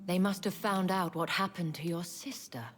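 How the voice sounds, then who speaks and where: An actress playing an elegant, wry, long-lived aristocratic vampire in a video game, speaking in formal, measured phrases. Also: female voice, on the low side, steady tone, close to an everyday voice. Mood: grave, assured. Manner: formal, refined, measured speech. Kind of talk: video game dramatic dialogue. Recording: loud background music. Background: music.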